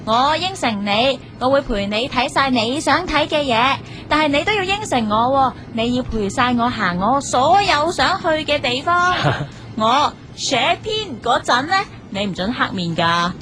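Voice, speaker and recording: A woman's voice: high-pitched, big pitch swings, unhurried, background noise. A woman with a Hong Kong Cantonese accent playing a sweet, eager young newlywed in an audio drama, coaxing and girlish. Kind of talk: acted audio drama dialogue. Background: music behind the voice.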